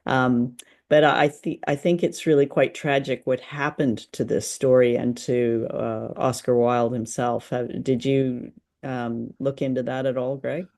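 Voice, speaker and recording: A woman's voice: extremely low pitch, little pitch movement, measured pace, darkish tone. A woman co-hosting a casual discussion, phrasing her thoughts hesitantly and reflectively. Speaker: podcast co-host.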